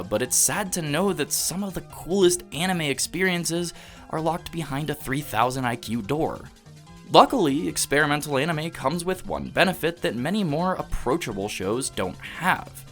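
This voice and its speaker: A man delivering scripted, analytical video essay narration in a measured, emphatic, rhetorical way. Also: a little high, a bit bright. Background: background music.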